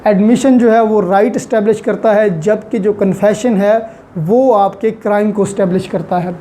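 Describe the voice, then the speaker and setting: A woman's voice: mid-range voice, fairly even intonation, moderate pace, dark tone. A woman giving an explanatory lecture in a didactic way, repeating key phrases for emphasis and mixing in English terms. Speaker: law lecturer. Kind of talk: explanatory lecture, Urdu-Hindi mixed with English legal terms.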